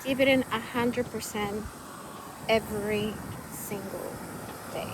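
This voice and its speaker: Cuban-born woman, slow, over street noise. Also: medium pitch, steady tone. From Cuba. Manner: candid, rambling, many fillers and restarts. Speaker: vlogger. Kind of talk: personal talk to camera.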